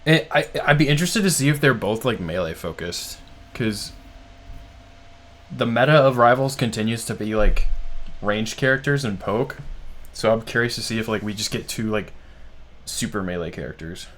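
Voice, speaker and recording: Rambling, excited man reacting live. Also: lowish voice, moderate pace, slight background noise. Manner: excited, rambling, heavy use of "like". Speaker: streamer host. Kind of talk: casual live reaction commentary.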